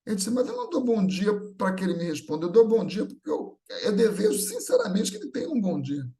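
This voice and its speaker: High-pitched Brazilian man giving a talk. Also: huge pitch swings, fast talker, mellow. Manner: conversational, often seeking agreement with short tag questions; acts out everyday dialogue in anecdotes. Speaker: speaker at a Spiritist gathering. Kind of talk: informal religious talk with anecdotes.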